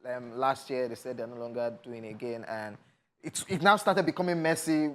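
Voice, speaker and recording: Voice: mid-range voice, normal speed. A co-host from Nigeria chatting casually about celebrity news, musing and restarting sentences. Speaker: co-host of entertainment show. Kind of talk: casual chat.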